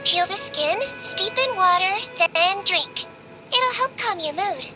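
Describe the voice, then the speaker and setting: A woman's voice: very high voice, expressive, takes it slowly, exaggerated voice. A woman voicing a small, perky, childlike game companion who talks in a chirpy, polite, inquisitive way. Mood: helpful. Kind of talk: scripted video game voice acting. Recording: game audio with loud music behind.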